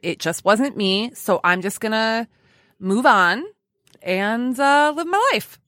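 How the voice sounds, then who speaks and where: A woman's voice: fairly high pitch, expressive, slow, fairly bright tone, no room sound, clean studio sound. A woman hosting a podcast interview in a casual, playful, gushing way with informal banter. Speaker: podcast host.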